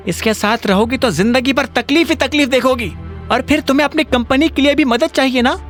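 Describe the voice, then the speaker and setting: A man's voice: very high-pitched, a bit bright, voice pushed up. A man voicing an angry, scornful, domineering middle-aged mother in an audio drama, scolding with sarcastic questions. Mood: insistent. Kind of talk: Hindi audio drama acting.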